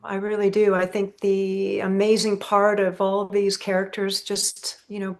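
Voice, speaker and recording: A woman with a medium-pitched voice speaks as a guest in a casual interview. Her delivery is earnest and emphatic, halting as she picks out her words. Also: monotone, a little mellow. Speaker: actress, podcast guest.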